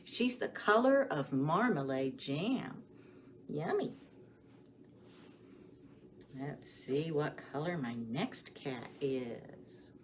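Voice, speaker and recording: A woman reading stories and rhymes aloud for young children as a storytime host, with a sing-song, expressive delivery, often putting questions to the children. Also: fairly low pitch. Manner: addresses children directly with questions and pauses for answers. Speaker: children's librarian hosting storytime. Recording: clearly audible background noise.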